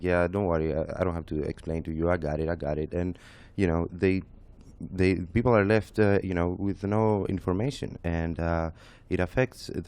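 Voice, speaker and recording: A young man with a Greek accent, co-hosting a real estate radio talk show, halting with many fillers. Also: sits low, darkish tone. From Greece. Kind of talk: casual radio chat. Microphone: studio microphone.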